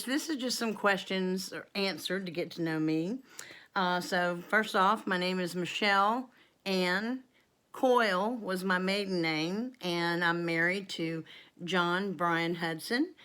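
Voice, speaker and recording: A woman's voice: on the low side, unhurried, bright, forceful tone, clear, resonant tone. Chatty woman in her fifties. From North Carolina, USA. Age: 50s. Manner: chatty and rambling, many ums and asides. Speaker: homestead vlogger. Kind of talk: casual Q and A chat to camera.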